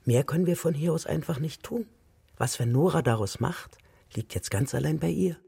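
An actor playing a calm man in a children's radio drama. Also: on the high side, moderate pace, creaky. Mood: resigned. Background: loud music.

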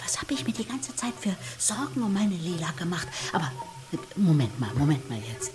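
Low-voiced feisty old grandmother. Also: very animated, normal speed. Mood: relieved, puzzled. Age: older. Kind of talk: children's radio play acting. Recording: music behind the voice.

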